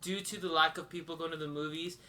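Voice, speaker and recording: A man's voice: on the high side, fairly even intonation, fast, pushed and bright. A man hosting a casual group podcast, bantering with his co-hosts. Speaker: podcast host.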